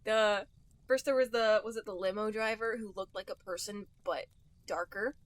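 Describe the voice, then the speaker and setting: A woman's voice: mid-range voice, steady tone, normal speed, no room sound. A woman co-hosting a podcast in casual chat, giggly and given to hedging what she says. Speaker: podcast co-host.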